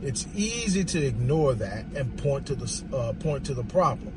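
A hoarse man gives an emphatic, off-the-cuff monologue on the move, repeating his rhetorical phrases. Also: mid-range voice, sing-song, moderate pace, far from the mic, noisy background. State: hoarse from talking. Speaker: vlogger giving social commentary. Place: on the move, outdoors near traffic.

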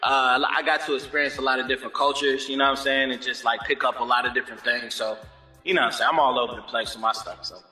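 A man talking as a guest singer in a relaxed interview, laid-back and repeating "you know what I'm saying". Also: mid-range voice, quick pace, reverberant, long reverb tail, soft background music. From Germany. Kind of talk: casual interview chat.

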